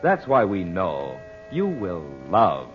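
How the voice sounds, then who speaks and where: A muffled vintage broadcast with faint music behind a male announcer. He delivers scripted sponsor copy in a polished, deliberate 1940s style, his pitch rising and falling in an animated way. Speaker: radio announcer.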